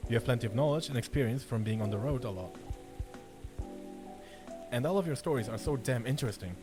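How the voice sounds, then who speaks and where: Man playing an animated, eager young show host. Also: medium pitch, a little fast, lightly acted. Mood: earnest, eager. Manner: enthusiastic, joking. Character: eager, chaotic, friendly young male online show host. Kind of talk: fan-dubbed visual novel acting. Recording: voice under loud background music.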